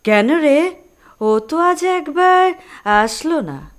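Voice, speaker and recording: A woman's voice: sits high, animated, very slow, exaggerated voice. An actress playing a village woman in a period audio drama. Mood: concerned.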